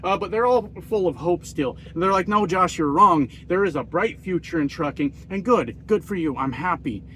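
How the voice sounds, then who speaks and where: Profane, nasal trucker ranting. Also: male voice, sits high, lively intonation, moderate pace, few pauses, a bit bright, a little hiss or hum. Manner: profane, sardonic, self-mocking. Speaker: trucker vlogger. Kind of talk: casual ranting monologue.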